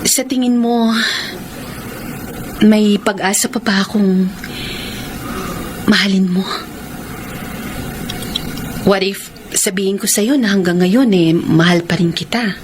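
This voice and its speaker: A woman's voice: medium pitch, takes it slowly, long pauses, lightly acted. An actress playing a bubbly, teasing, outgoing young woman in a romantic radio drama. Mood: tender, hesitant. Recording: noisy radio drama with background ambience.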